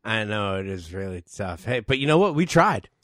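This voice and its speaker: A man co-hosting a casual podcast, joking in an animated way. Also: on the low side, normal speed, no room sound, studio quality. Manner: animated, jokey. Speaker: podcast co-host.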